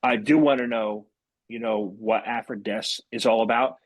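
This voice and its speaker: A man's voice: fairly low pitch, fairly even intonation, normal speed, no echo. A man hosting a podcast interview, upbeat and prone to repeating words as he thinks. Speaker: podcast host.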